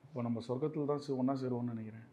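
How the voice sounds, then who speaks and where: A man's voice: mid-range voice, fairly even intonation, very fast, warm tone. A man chatting casually on a phone call in a hesitant, innocent-sounding way. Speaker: husband making a prank phone call. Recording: dull band-limited sound, music behind.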